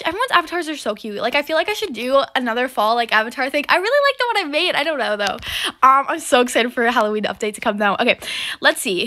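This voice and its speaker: A young woman with a slightly stuffy nose, chatting casually through a game with lots of fillers and excited exclamations. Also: high-pitched. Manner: chatty, full of fillers and "oh my gosh". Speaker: gaming video creator. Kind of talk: casual gameplay commentary.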